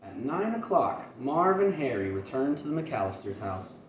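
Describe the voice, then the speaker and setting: A man reading a children's story aloud in an expressive teacher's style. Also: mid-range voice, normal speed. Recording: roomy, distant mic, faint noise.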